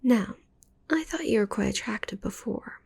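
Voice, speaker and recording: A woman playing a needy, possessive witch in an intimate ASMR roleplay, cooing with soft menace in a breathy whisper. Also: mid-range voice, animated, moderate pace, full character voice. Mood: tender, smitten. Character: possessive, needy young witch doting on shrunken captive.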